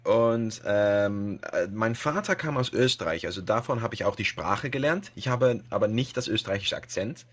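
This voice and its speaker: A man with a Dutch accent speaks German as a second language in a relaxed podcast chat. His voice sits a little low, and he searches for words, filling gaps with hesitations and restarting sentences. He laughs now and then as he talks. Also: normal speed, few pauses. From Netherlands. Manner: hesitant, many fillers and restarts, laughs often. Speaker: podcast guest, web radio host. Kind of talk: casual podcast interview.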